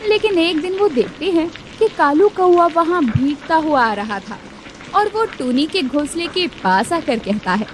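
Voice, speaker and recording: A woman reads a children's cartoon tale in a high, animated voice. Her scripted narration rises and falls expressively over faint background noise. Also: measured pace. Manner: expressive, storytelling.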